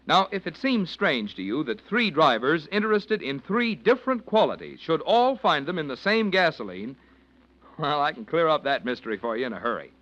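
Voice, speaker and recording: A man with an American accent as a radio announcer, delivering a polished, emphatic 1940s sponsor pitch. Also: big pitch swings, moderate pace, fairly bright tone. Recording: dull old 1940s radio recording.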